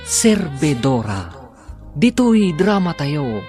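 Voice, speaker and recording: A man's voice: on the high side, sing-song, takes it slowly, darkish tone, soft background music. A man with a Filipino accent giving dramatic scripted narration as a radio drama announcer.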